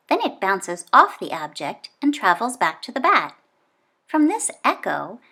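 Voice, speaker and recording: A woman reading a children's nonfiction book aloud, expressively and with clear phrasing for young listeners. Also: medium pitch, bright, forceful tone.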